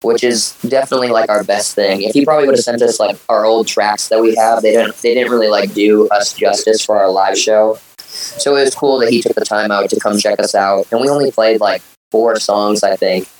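A man who sings in a band, answering questions in a relaxed, halting way. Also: fairly low pitch, poor audio. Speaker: band singer, guest. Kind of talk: casual interview answers.

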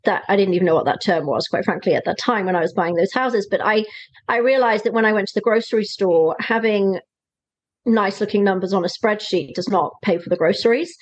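A woman in her forties from Wales with a Welsh accent, chatting as a podcast guest in a relaxed, self-deprecating way with frequent fillers. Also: mid-range voice, fairly quick, no echo. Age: 40s. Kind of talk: conversational interview. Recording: slightly dull lo-fi dry sound.

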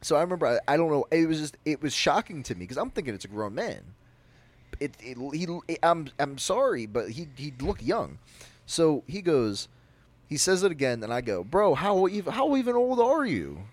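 A young man with a naturally loud voice, telling a personal story on a podcast in a rambling, animated way. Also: on the high side, brisk. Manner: animated, rambling, with frequent restarts. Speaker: podcast co-host. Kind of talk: casual storytelling.

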